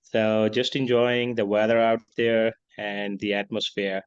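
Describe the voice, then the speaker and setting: Male guest from Pakistan, 30s, low-pitched, steady and chatty, on dull video-call audio. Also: measured pace, clear, resonant tone. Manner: steady, uses "you know" often. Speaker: guest, tech and business consultant. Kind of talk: conversational interview answers. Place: village in northern Pakistan.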